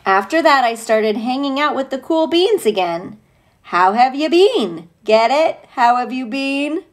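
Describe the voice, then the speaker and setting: Lady teacher reading a kids book out loud, kinda high voice, takes it real slow, playful and gushy. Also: lively intonation. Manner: gushing, playful, talking to young children. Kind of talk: expressive children's read-aloud with chatty asides.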